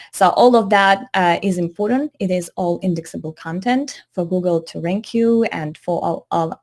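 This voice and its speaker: A woman with a Ukrainian accent walks listeners through a marketing webinar, her voice sitting a little low. She explains things patiently, pausing to rephrase and correct herself as she goes. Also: a little mellow. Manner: patient, explanatory, hesitant with frequent self-corrections. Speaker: webinar presenter. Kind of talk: instructional webinar talk over slides.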